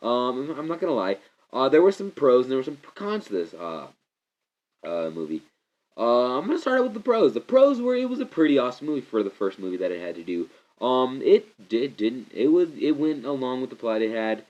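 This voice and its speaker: A young man with a stuffy, congested voice gives a rambling, excitable movie review, often restarting his sentences. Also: mid-range voice, a little fast. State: congested from a cold. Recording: slightly muffled lo-fi recording.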